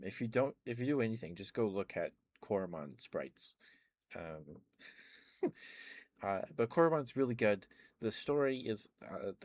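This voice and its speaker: A man rambling casually about video games in a soft-spoken ASMR stream, full of ums and uhs. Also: low-pitched.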